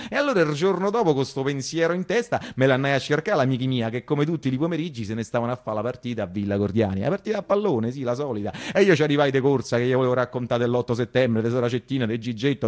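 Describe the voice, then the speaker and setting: A man's voice: mid-range voice, a little mellow. A man with a Roman accent performing a theatrical first-person monologue as a working-class storyteller recalling boyhood, emphatic and building through rhythmic repetitions. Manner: emphatic, repeats phrases in rhythmic build-ups. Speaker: storyteller actor.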